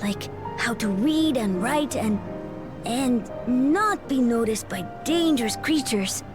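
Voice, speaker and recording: High-pitched, earnest little boy. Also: expressive, takes it slowly, music in the background. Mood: earnest, fond. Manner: simple, hesitant childlike speech. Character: young orphaned boy, shy and earnest. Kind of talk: video game voice acting.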